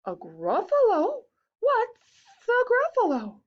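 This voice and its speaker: Woman doing a hushed sly snake. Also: very high voice, wildly swooping pitch, takes it slowly, highs cut off, pitched above the performer's own voice, heavily acted.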